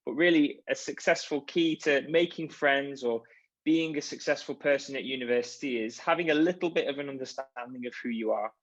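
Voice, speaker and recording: A man talks to new students in an easy, chatty way, encouraging them along. His voice sits at a middle pitch. The audio is dull and lo-fi, as over a video call. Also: brisk, fairly bright tone. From Liverpool, England. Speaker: university chaplain and events coordinator. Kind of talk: informal online welcome talk.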